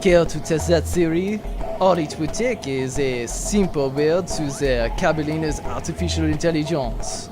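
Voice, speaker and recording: Smug male starship engineer acted by a man, higher-pitched, precise, deadpan, comic space serial over music. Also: a little slow, natural voice. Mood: smug, matter-of-fact. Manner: precise, pedantic, formal wording. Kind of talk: sci-fi comedy audio drama.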